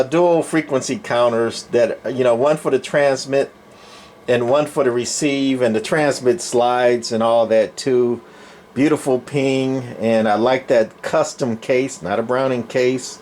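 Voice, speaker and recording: A man's voice: mid-range voice, darkish tone. Male hobbyist presenter, mid-range voice, plainspoken and rambling, off-the-cuff show-and-tell with background noise. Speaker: radio collector presenting his collection. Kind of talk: casual unscripted show-and-tell. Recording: clear background noise, room ambience.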